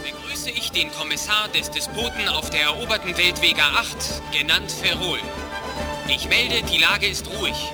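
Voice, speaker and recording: A man's voice: sits high, moderate pace, loud music behind the voice, lightly acted. An actor, a man, playing a pompous alien admiral in a radio play, declaiming his words. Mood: pompous, formal. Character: alien reptile fleet admiral, pompous, turning mutinous.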